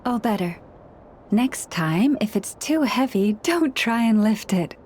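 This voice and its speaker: An actress playing a gentle, caring woman who looks after forest animals, speaking in a soothing, measured way in a video game. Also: a little high, animated, a little hiss or hum. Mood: caring, gently chiding. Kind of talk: video game character dialogue.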